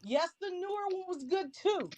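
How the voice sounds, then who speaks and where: A woman's voice: extremely high pitch, animated, normal speed, a crowd in the background. A woman in a casual panel debate, insistently holding to her opinion.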